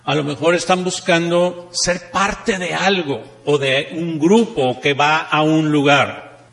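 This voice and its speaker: Higher-voiced northern Mexican man in echoey hall. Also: steady tone, takes it slowly, a bit bright. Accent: northern Mexican Spanish. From Monterrey, Mexico. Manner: rhetorical questions, repeats key phrases with emphasis. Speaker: seminar speaker, network marketing trainer. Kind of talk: motivational seminar talk with anecdotes. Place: seminar room, speaking to an audience. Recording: echoey hall with long reverb.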